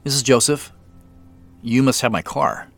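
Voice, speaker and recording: A man's voice: mid-range voice, expressive, unhurried, gravelly, soft background music. A man playing a wry, wary amateur sleuth in an audio drama, speaking in a casual, naturalistic way. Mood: polite, relieved. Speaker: lead actor.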